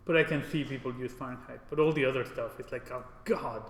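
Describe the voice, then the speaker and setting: A man's voice: medium pitch, quick pace. A man from Mexico giving an informal programming lecture in a classroom, often checking in with short tag questions. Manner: casual, often seeks agreement with tag questions. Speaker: programming instructor. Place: indoor classroom. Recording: echoey, reverberant room with background noise.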